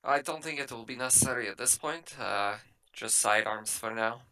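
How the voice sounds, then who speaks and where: A man's voice: medium pitch, moderate pace, pushed and bright, low-quality recording. A man playing a composed, direct spaceship captain in a tabletop roleplaying game. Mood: measured, formal.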